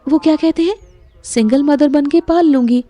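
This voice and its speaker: A young woman playing a sweet, trusting unwed mother in an audio drama, speaking softly and earnestly. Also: high-pitched, moderate pace, close to an everyday voice. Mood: earnest, reassuring. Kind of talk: Hindi audio drama acting.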